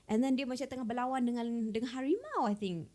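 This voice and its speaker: A young woman with a Malaysian accent chatting casually and telling stories as a podcast co-host, in an animated, informal code-switching way. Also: high-pitched, rapid-fire. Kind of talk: casual chat, storytelling.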